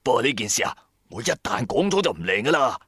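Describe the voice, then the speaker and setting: A man's voice: medium pitch, big pitch swings, quick pace, creaky, a bit bright, slightly rough, dry recording, studio quality, full character voice. A man voicing a shifty, boastful husband with an evasive, exclamatory manner in a Cantonese radio ghost story. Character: shifty, boastful husband, a newly rich builder hiding a secret.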